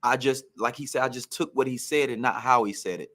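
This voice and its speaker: A man's voice: medium pitch, fairly quick, fairly bright tone. A man, a former pro football receiver, telling career stories on a podcast in a reflective, emphatic way.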